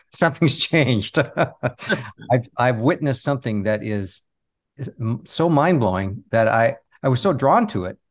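A man's voice: mid-range voice, lively intonation, unhurried. Guy guest on a podcast, medium voice, earnest reflective storytelling. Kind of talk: casual storytelling in an interview.

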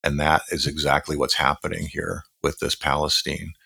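A man co-hosting a podcast, talking in an earnest, measured way with fillers and restarts. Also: extremely low pitch, little pitch movement, creaky, bright, forceful tone, slightly rough, dry recording, clean studio sound. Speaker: podcast co-host. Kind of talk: reflective podcast conversation.

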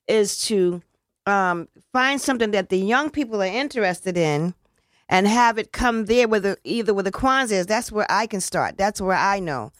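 A woman's voice: medium pitch, lively intonation, normal speed, dry recording. Earnest rambling woman, dry close sound. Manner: rambling and earnest, with frequent restarts and casual slang. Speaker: community event organizer. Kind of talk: casual interview talk.

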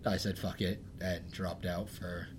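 A man's voice: sits low, level tone, unhurried, background noise. A young man co-hosting a podcast, chatting casually, rambling and self-deprecating, often laughing. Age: young adult. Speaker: podcast co-host. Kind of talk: casual chat.

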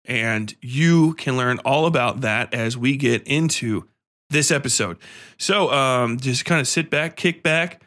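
A man's voice: medium pitch, a little slow, a bit bright. A man, sounding middle-aged, hosts a podcast with casual, joking, freely swearing banter. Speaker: podcast host.

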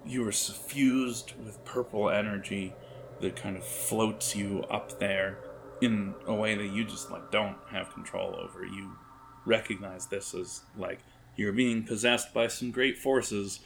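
Low, slow, creaky-voiced man as game master. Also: steady tone, a little hiss or hum. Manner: halting, searching for words. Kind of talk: improvised narration of a tabletop horror game.

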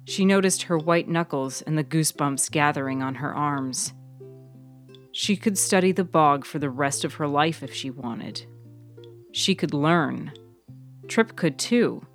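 A woman's voice: low-pitched, measured pace. A woman narrating a scripted serial fiction story for a podcast, with piano music behind her.

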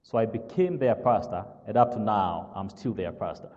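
A man from Kenya with a Kenyan accent, whose first language is not English, preaching a sermon as pastor. He speaks slowly and haltingly, fills pauses with "uh" and keeps asking "all right?". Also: on the low side, a little mellow, long reverb tail, dull audio. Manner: slow, halting with many "uh" fillers, checks "all right?".

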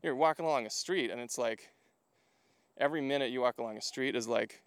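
Clear-voiced man from Hungary, rambling casually. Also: mid-range voice, fairly quick, no echo. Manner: rambling, filler-heavy storytelling with frequent "like". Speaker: podcast guest. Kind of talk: casual chat while walking. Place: outdoors, walking to a lookout.

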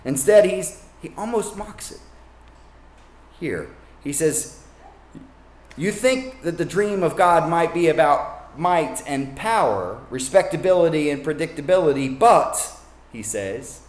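Conversational preacher in an echoey room. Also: male voice, fairly high pitch, lively intonation, unhurried. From Kentucky. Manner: conversational, with asides and self-corrections. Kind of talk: sermon.

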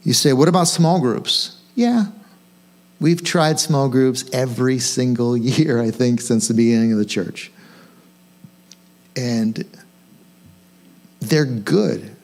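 Guy pastor preaching casually, chatty, asks questions and punches the important bits, average pitch. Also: takes it slowly, long pauses, darkish tone. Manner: conversational, self-questioning, emphatic on key points. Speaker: senior pastor. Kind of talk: informal sermon to own congregation.

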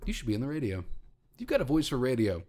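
A man in his thirties with a deep voice, co-hosting a podcast in casual, self-mocking chat with many fillers. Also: very animated, quick pace, mellow. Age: 30s. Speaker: podcast co-host.

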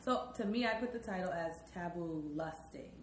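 A young woman with a fairly low voice hosting a chatty podcast and keeping the conversation moving. The room sounds echoey, with a faint hiss underneath. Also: moderate pace. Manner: bossy, steers the talk, laughs easily. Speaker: podcast host. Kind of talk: casual chat.

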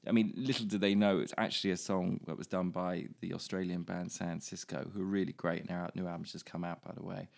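A man's voice: deep voice, level tone, quick pace, gravelly, hardly any pauses, a little mellow. A young man from the UK, a musician, chatting casually at home in an easygoing, self-deprecating way. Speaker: musician guest. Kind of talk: casual chat.

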